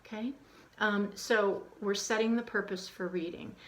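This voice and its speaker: A woman's voice: mid-range voice, unhurried. A woman giving an informal instructional talk on reading aloud to children, conversational with frequent ums and restarts. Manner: conversational, many ums, acts out questions to children in a hushed, animated voice. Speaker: teacher trainer.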